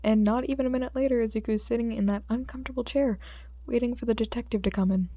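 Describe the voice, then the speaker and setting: Female fiction narrator: expressive scripted read, relaxed mellow timbre, very dry close sound. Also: on the high side, quick pace, no room sound. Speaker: audiobook narrator.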